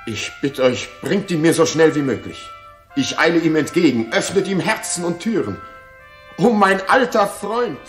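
A man's voice: fairly high pitch, expressive, moderate pace, faint music behind, close to an everyday voice. An actor playing a remorseful aging king, grieving and impassioned, in 1960s German radio theatre style, recorded in a roomy studio.

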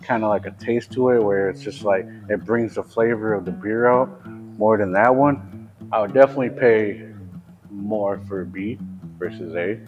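A man with a lowish voice jokes and muses in a relaxed way. His voice comes through thin and tinny, with faint music behind him. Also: moderate pace. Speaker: coworker taste tester. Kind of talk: casual tasting comments. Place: brewery taproom. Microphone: lapel mic.

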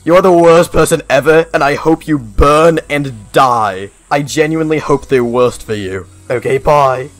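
A man acts a spiteful young cartoon contestant with a rather high male voice. He is blunt and melodramatic, stammering and repeating himself, in a homemade web animation style. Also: unhurried, few pauses, close to an everyday voice. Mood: hateful. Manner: stammering, repetitive, dramatic. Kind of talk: amateur animated web show voice acting.

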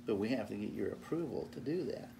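A man's voice: fairly low pitch, animated, fast talker, creaky, background noise. An older man patiently explains a contract to a young girl in a living room, using simple words. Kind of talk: explaining paperwork to a child.

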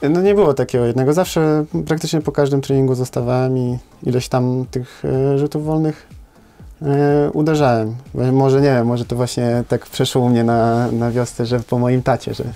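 Man, 30s, hesitant, frequent fillers, dark tone, small studio talk. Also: medium pitch, fairly even intonation, moderate pace, soft background music. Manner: hesitant, many fillers and tag questions. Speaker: footballer guest. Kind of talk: casual interview answers. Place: small studio with brick wall.

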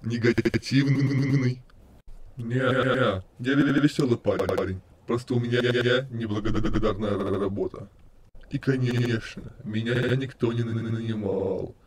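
A man's voice: mid-range voice, fairly even intonation, a little slow, a little mellow, close to an everyday voice. A man voicing a deranged skeleton destroyer in an amateur comic dub, cheerfully menacing, stuttering and repeating words like a glitch. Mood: cheerful menace. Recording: clear background noise.

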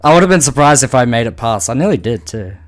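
A man's voice: mid-range voice, sing-song, fast. A man chatting casually on voice chat while playing a game, joking with friends and swearing when he dies. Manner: laid-back, laughs a lot, swears when he dies. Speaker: gamer streaming with friends on voice chat.

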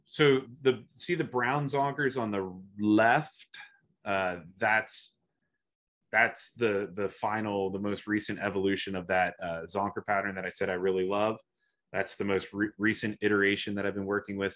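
A man's voice: deep voice, a bit bright. A man giving an online slide presentation over a video call, talking hesitantly with many fillers and restarts. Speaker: guest presenter.

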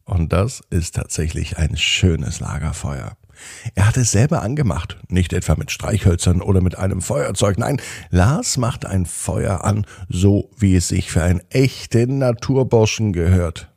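A man hosts a children's podcast, narrating a bedtime story expressively with drawn-out phrases. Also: low-pitched, expressive, creaky, darkish tone, slightly rough, no room sound, clean studio sound.

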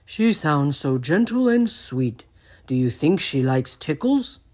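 A woman voicing a big, gentle, sweet male cow from a storybook in an earnest, soft-hearted manner. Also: deep voice, sing-song, takes it slowly, voice pushed down, heavily acted. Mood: wistful.

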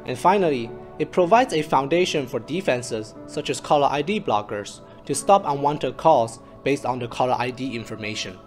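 A man narrating a scripted research presentation in a measured, formal way, as if reading. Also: medium pitch. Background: instrumental music.

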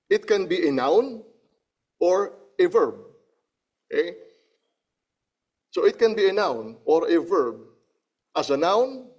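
A man preaching a sermon, teaching slowly from slides, repeating phrases and often checking with "Okay?" and clearing his throat between points. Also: fairly high pitch, darkish tone. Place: church.

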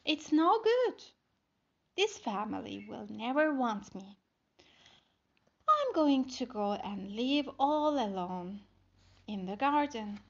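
A woman's voice: on the high side, very animated, takes it slowly, long pauses, fairly bright tone, highs cut off, voice pushed down, full character voice. A woman voicing a lonely, eager female spider in a storybook, with simple, emphatic, showy delivery. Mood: lonely, hopeful.

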